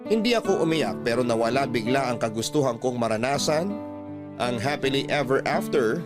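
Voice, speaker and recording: A man's voice: medium pitch, expressive, normal speed, over music. A man with a Filipino accent reading a first-person story as a radio storyteller.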